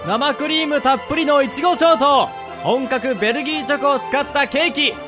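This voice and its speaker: A man's voice: very high voice, a little fast, over music. A man playing a listless, whiny young cake seller in a radio drama. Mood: polite, selling. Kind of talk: amateur Japanese radio drama acting.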